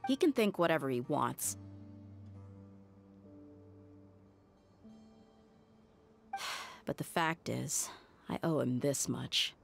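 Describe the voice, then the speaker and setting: A woman's voice: rumbling low, big pitch swings, a little slow, gravelly, exaggerated voice. An actress playing a tough, steadfast desert mercenary woman in a video game, resolute and matter-of-fact. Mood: steady, grateful. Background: background music.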